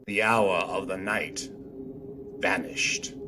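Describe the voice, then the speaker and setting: A man narrating a radio play in a theatrical storybook style with deliberate phrasing. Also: low voice, fairly even intonation, background noise. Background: music.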